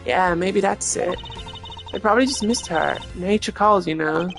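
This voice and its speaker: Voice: mid-range voice, normal speed, fairly bright tone, close to an everyday voice. A performer voicing a ditzy, sleepy, evasive young female flight attendant in a mystery game, airy and casual with feigned innocence. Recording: game music behind the voice.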